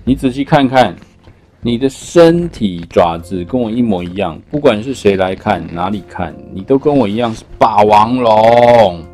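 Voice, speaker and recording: A man's voice: on the low side, lively intonation, normal speed, a little mellow, soft background music. A man voicing a big, sly, menacing male tyrannosaurus in a read-aloud storybook, gloating and emphatic. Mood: insistent.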